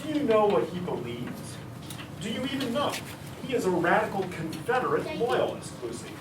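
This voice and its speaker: A man's voice: high-pitched, very animated, normal speed, echoey room. Male actor as domineering father, stern senator, commanding stage delivery, distant mic, noisy restaurant room. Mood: angry, forbidding. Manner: commanding, scolding. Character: stern, domineering senator father forbidding his daughter's romance. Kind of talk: staged musical reading. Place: live restaurant reading.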